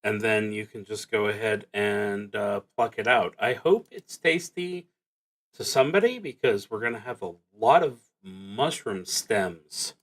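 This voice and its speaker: A man playing a solo tabletop roleplaying game on a stream, reading prompts aloud and thinking out loud in a halting, musing way. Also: on the low side.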